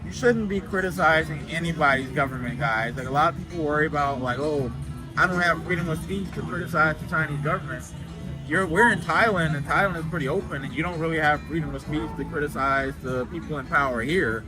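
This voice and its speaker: A man's voice: on the high side, a little fast, music in the background, rough recording. A man from the United States, a guest and video creator, talking in a casual interview and addressing viewers directly. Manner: assertive, lectures the audience directly.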